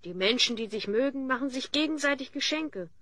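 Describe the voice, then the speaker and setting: An actor playing a calm, earnest young male hero from another world, patient and instructive, in a fantasy audio drama. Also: sits high, a little fast, no room sound, clean studio sound, heavily acted.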